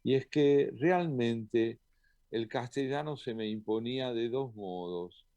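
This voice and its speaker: A man answers questions as a poet and translator, thoughtful and measured. He pauses now and then with small hesitant fillers. His voice is mid-pitched and sounds dry and close to the mic. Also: warm tone, dry recording, dull audio. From Argentina. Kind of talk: reflective interview answers.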